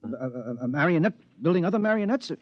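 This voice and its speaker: An actor playing a take-charge suburban husband in a 1950s radio drama, emphatic, wry and sentimental. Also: male voice, on the high side, very animated, fast talker, no echo. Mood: amazed, brisk. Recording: dull dry vintage radio recording.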